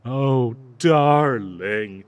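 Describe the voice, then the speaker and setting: A man voicing a bloodthirsty, doting cursed dagger in an audio roleplay, with theatrical, halting, gushing talk full of endearments. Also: mid-range voice, clear, resonant tone, no room sound. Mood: adoring, giddy. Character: obsessive cursed talking dagger, bloodthirsty, doting, giddy, fawning.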